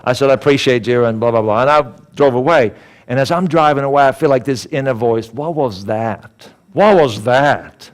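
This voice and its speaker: A man's voice: medium pitch, moderate pace. A man from Sweden with a Swedish accent, giving a sermon-style talk that tells a story and acts out the dialogue in it. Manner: animated, acts out dialogue, repeats words. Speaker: speaker.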